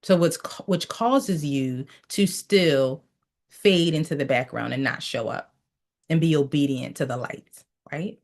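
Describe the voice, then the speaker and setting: Female mindset coach, lowish voice, preachy repetitive delivery, teaching tone. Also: measured pace, a little mellow. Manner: preachy, repeats phrases, tells listeners to write things down. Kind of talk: motivational teaching session.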